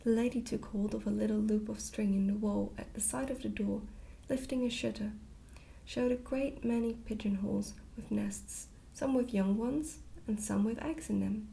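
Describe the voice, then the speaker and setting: A woman reading a story aloud in a soft, near-whispered ASMR narration. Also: medium pitch, steady tone, normal speed, vocal fry. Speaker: ASMR reader.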